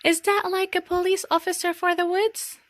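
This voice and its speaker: A woman's voice: very high voice, flat delivery, moderate pace, a bit bright, no room sound, voice pushed up. A woman voicing an earnest, inquisitive young girl in a children's storybook. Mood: eager. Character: eager, curious young girl narrating storybook, admiring police.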